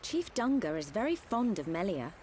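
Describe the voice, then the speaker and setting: Woman playing a lively, sharp-tongued young adventurer. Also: mid-range voice, normal speed, a little hiss or hum, close to an everyday voice. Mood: curious. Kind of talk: video game cutscene acting.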